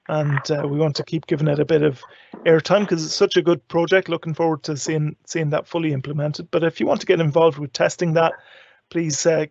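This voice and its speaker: A man's voice: fairly high pitch, creaky, darkish tone, husky, lo-fi sound. A man hosting a live tech show in a casual, chatty and loosely rambling way.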